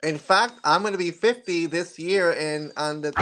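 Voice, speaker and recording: A man's voice: fairly high pitch, normal speed, fairly bright tone. A man in his forties, a model interviewed as a guest, speaking earnestly and reflectively about his journey. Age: 40s. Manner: earnest and reflective, with occasional laughs and restarts. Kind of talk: casual interview chat.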